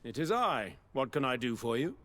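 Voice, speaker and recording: An actor playing an evasive, grudging merchant in a video game, defensive and brusque in manner. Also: male voice, mid-range voice, very animated, normal speed, fairly bright tone. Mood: guarded. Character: evasive, grudging male merchant client owed money.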